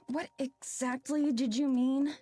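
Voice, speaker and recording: Woman voicing a high, slow, weary young woman. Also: steady tone, warm tone. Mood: uneasy. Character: tired, hesitant young woman, politely impatient.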